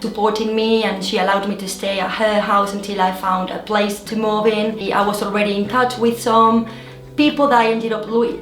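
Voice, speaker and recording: A woman in her thirties with a Spanish accent, speaking earnestly and in a measured way as an interviewee reflecting on her life abroad. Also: medium pitch. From Spain. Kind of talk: personal reflection. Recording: roomy, music behind.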